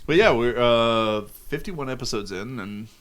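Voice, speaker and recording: A man's voice: medium pitch, expressive, measured pace, a bit bright. A man who is a podcast guest, chatting in a laid-back way and laughing mid-sentence. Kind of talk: casual chat.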